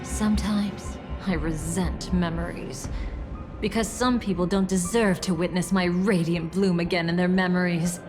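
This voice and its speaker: A woman's voice: on the low side, fairly even intonation, moderate pace, natural voice. An actress voicing a scheming, theatrical young woman in a video game, playful and mocking, with music underneath. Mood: wry. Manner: playful, mocking, dramatic swings in tone.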